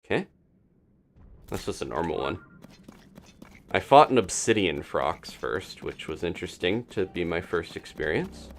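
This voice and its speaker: A man's voice: low voice, big pitch swings, unhurried, pauses often, a little hiss or hum. A man doing casual live commentary as he plays a video game, thinking aloud with playful reactions. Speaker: gaming live streamer.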